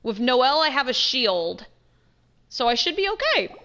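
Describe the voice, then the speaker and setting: A woman's voice: sits high, sing-song, normal speed, bright, forceful tone. A woman giving casual let's play commentary, thinking aloud in informal, slangy speech.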